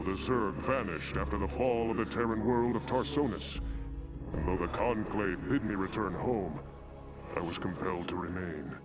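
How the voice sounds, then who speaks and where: An actor playing a resolute, noble alien templar commander in a video game, grave, formal and commanding. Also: male voice, lowish voice, close to an everyday voice. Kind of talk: scripted sci-fi video game dialogue. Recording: loud game music behind.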